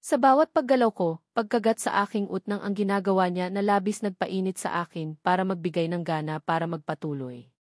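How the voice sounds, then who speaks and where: A woman's voice: mid-range voice, fairly quick, dry recording, clean studio sound. A woman with a Filipino accent reading a scripted first-person story as the narrator of an audio novel.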